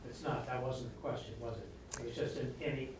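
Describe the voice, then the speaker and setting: A man heard from far off in an echoey room asks a tentative question. His voice is mid-pitched, with a faint background hiss. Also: level tone, brisk, a little mellow. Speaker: audience member.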